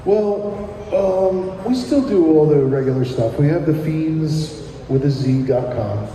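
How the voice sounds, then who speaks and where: Middle-aged man with a New Jersey accent, slow halting talk, dark tone, roomy far-off sound. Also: a little high, expressive, slight background noise. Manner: hesitant, lots of ums, then sings with guitar. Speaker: punk musician guest. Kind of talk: casual radio interview and live acoustic singing. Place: live radio broadcast in a shopping plaza. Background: acoustic guitar.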